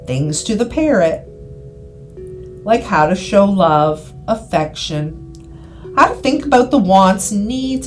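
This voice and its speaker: A woman reading a scripted guided hypnosis session in a soothing, deliberate manner, with repeated phrases and pauses. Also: mid-range voice. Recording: voice over background music. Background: background music.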